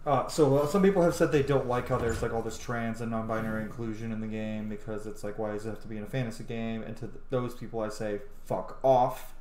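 A man's voice: mid-range voice, a little mellow. Man commentating on a game in a roomy space. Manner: emphatic, swears freely. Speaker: game streamer. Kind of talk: casual gameplay commentary. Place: small indoor room at home. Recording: roomy sound with music behind. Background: game music.

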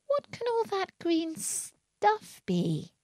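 A woman voicing a polite, bewildered little girl in a storybook, earnest and quick to protest. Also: very high-pitched, wildly swooping pitch, darkish tone, no echo, clean studio sound, voice pushed up, heavily acted. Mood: bewildered dismay. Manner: plaintive, earnest, hesitant. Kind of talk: storybook character reading.

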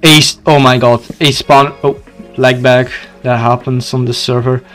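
A man's voice: medium pitch, level tone, moderate pace, fairly bright tone, faint music behind. Young Belgian man livestreaming, Dutch accent. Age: young adult. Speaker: video game livestreamer. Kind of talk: casual live gaming commentary with chat.

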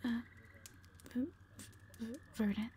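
A woman playing a shy, frightened, wounded owl beast-woman in an audio drama, stammering timidly in a soft, breathy, often whispering voice. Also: fairly high pitch, level tone, takes it slowly, slight background noise. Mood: timid, grateful. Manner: timid, heavily stammering, hesitant. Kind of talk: audio roleplay drama acting.

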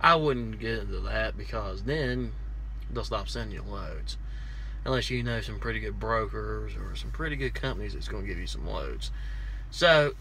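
A man's voice: on the low side, expressive, moderate pace, bright, forceful tone, a little hiss or hum. A young man, a trucker, giving casual, plain-spoken advice to a phone camera in a small dim room, repeating his points in a folksy way. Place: small dim indoor space with curtains. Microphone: phone camera close to face.

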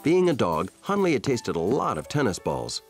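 A man narrating a children's cartoon in a scripted, gently playful storyteller manner. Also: mid-range voice, wildly swooping pitch, normal speed, vocal fry. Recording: cartoon soundtrack with faint music.

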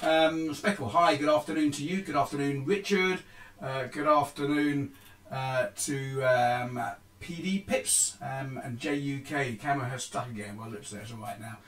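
A middle-aged man hosts a live forex trading stream, explaining the markets in a halting way with many ums and restarts. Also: medium pitch. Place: small indoor room.

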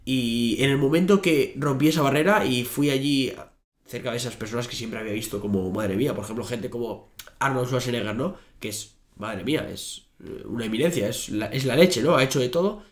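A man's voice: mid-range voice, a little fast. A young man, a native Spanish speaker, talking as a podcast guest across a desk, telling stories with many fillers and restarts. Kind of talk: casual interview talk. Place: small office studio. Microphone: desk microphone. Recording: echoey room.

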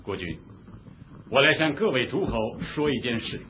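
An actor playing a shrewd, earnest chief minister in a historical radio drama, with a husky voice and formal, measured rhetoric. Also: male voice, mid-range voice, very animated, a little hiss or hum, close to an everyday voice. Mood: declaiming. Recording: muffled phone-like radio drama recording.

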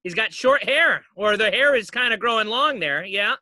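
Guy podcast host, high pitched, very bright forceful voice, playful chat. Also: lively intonation, normal speed, no room sound. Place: home basement.

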